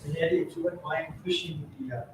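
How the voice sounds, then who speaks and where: A man's voice: a little high, steady tone, fast talker. A man on a town board introducing interns at a public meeting, rambling with many fillers. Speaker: board member presenting interns. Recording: distant echoey mic, noise.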